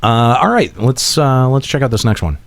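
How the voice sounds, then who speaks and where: A man's voice: medium pitch, animated, moderate pace. A man hosting a podcast, bantering casually with his co-hosts. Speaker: podcast host.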